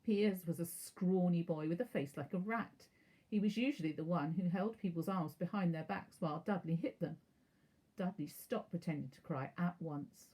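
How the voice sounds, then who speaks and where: A woman narrating a children's storybook aloud as a teacher would, in an expressive, measured way. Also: lowish voice, husky, rough recording.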